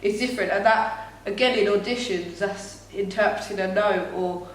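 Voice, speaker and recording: Young woman, mid-pitched, reflective chat, heavy room echo and a light hiss. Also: moderate pace, far from the mic. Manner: reflective, thinking aloud with fillers. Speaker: podcast co-host, actor. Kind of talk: casual chat. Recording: very echoey room, faint noise.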